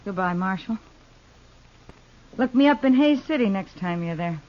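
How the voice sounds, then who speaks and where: A woman's voice: medium pitch, animated, moderate pace, natural voice. An actress playing a tough, self-reliant frontier ranch woman in a radio western, terse and plain-spoken. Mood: hurt, resolute. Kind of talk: 1950s radio western acting. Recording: dull old radio recording.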